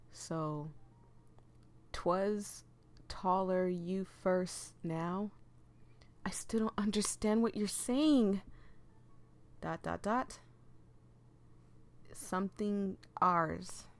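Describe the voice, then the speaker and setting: A woman hosting a casual let's play, reading game text aloud and chatting apologetically to viewers as she breaks off her own sentences. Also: medium pitch. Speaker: gaming video host.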